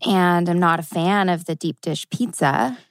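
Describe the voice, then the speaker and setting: A woman plays an anxious, wry young woman in a teen drama. Her voice is fairly low and creaky, and it sounds close and dry. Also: unhurried, no echo. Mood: wry, uneasy. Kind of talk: table read acting.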